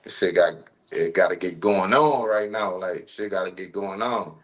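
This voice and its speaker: A man's voice: low-pitched, moderate pace. A man in his thirties from Ohio, a rapper chatting casually as a podcast guest in a laid-back, slangy, rambling way with many fillers. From Ohio, USA. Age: 30s.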